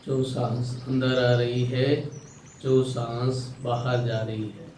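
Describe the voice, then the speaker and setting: A man with an Indian accent leading a guided meditation, giving calm, soothing instructions with long pauses. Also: medium pitch. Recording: roomy echo, distant mic, faint noise.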